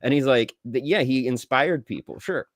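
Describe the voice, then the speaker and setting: A man co-hosting a podcast, chatting casually about films in an expressive, slangy way and acting out reactions. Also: mid-range voice, moderate pace, no room sound, clean studio sound. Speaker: podcast host. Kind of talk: casual film review chat.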